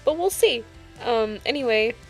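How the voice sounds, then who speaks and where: A woman's voice: fairly high pitch, lively intonation, moderate pace, creaky, soft background music. A woman with an informal, self-deprecating manner, telling stories and chatting casually as an online video creator. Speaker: YouTuber reading own fanfiction. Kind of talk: casual storytelling and video chat.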